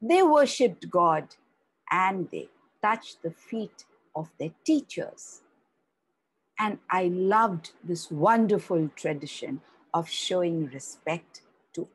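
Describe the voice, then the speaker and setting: Slow, breathy woman storyteller. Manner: slow, expressive reading with wide swings of pitch. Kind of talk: storytelling for children.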